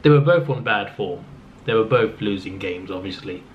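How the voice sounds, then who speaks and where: A man's voice: on the low side, normal speed. A man with a fading voice, rambling through a casual football recap in a subdued tone, with lots of restarts. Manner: subdued, flat-sounding delivery; rambling, with frequent restarts and fillers. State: losing his voice. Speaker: football vlogger. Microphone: camera mic. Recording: slightly dull lo-fi audio with faint noise.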